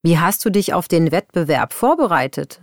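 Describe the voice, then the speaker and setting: A woman reading scripted exam texts and interview questions in a clear, lively way. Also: fairly low pitch, normal speed, no echo, clean studio sound. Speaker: exam recording reader.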